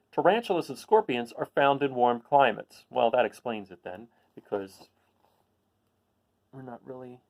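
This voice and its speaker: A man's voice: mid-range voice, darkish tone. A man reading a children's picture book aloud, adding casual asides and putting questions to his listeners. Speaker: read-aloud host.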